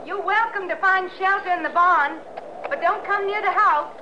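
A woman's voice: very high voice, moderate pace. An actress playing a guarded but hospitable young American frontier woman in an old-time radio western. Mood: wary, shouting. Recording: muffled vintage radio recording with noise.

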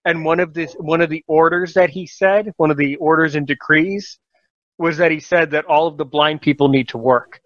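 A man's voice: a little high, fairly even intonation, normal speed, no room sound, highs cut off. A man hosting a casual podcast talk show, encouraging and rambling, often correcting himself mid-sentence.

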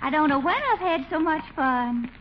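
An actress playing a coquettish belle with a sugary, coaxing manner, in 1940s radio acting. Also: female voice, high voice, moderate pace, lightly acted. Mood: gushing. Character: coquettish Southern belle, sweetly wheedling. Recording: dull old radio broadcast, faint hiss.

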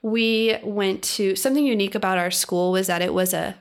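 A woman's voice: medium pitch, fairly even intonation, a little fast. A young woman co-hosting a podcast in casual chat, upbeat as she lays out what the episode will cover. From Oregon. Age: young adult. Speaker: podcast co-host.

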